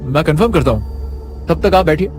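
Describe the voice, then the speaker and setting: A shifty cartoon hospital doctor, voiced by a man, stammering and placating with nervous politeness. His pitch is raised and lively over faint music. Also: a little fast, natural voice. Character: nervous, evasive male hospital doctor hiding something.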